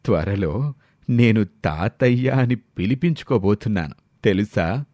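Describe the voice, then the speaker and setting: A stern old patriarch of a moral storybook tale, acted by a male narrator, pitched very low. He speaks slowly and weightily, like someone used to scolding and doubting his family. The recording is close and dry. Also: expressive, dark tone, no echo. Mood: gruff, pleased. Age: older. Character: stern old grandfather, wealthy, wounded and distrustful.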